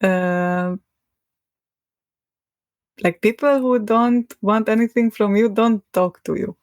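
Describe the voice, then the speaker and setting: A woman's voice: mid-range voice, takes it slowly, a little mellow, dry recording. A young woman with a Hungarian accent, a podcast guest talking in a rambling, hesitant way and laughing often between thoughts. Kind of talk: casual conversation.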